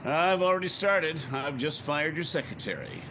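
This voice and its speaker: A man's voice: on the high side, animated, moderate pace, a bit bright, a little hiss or hum, low-quality recording. A man playing a brash, driven newspaper editor in his forties, curt and self-assured, in a 1950s radio drama. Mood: brusque, confident. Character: brash, driven forty-year-old male newspaper editor. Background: music.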